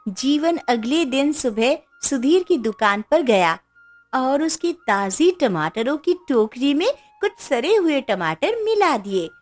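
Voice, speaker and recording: High-voiced, slow, animated woman storyteller. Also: faint music behind. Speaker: narrator. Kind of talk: scripted children's moral-story narration.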